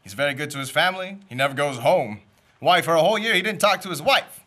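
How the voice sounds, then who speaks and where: A young man playing a greedy, fast-talking male shyster lawyer who makes grand courtroom speeches full of wisecracks, in a comic radio play. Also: on the high side, lively intonation, sharp tone. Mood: wisecracking. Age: young adult.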